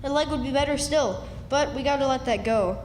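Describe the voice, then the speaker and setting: Woman playing high-voiced scheming country boy. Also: fairly quick, darkish tone, a little hiss or hum, lightly acted. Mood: eager, scheming. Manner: folksy, eager. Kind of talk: stage radio drama acting. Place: live stage in a hall. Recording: reverberant hall recording.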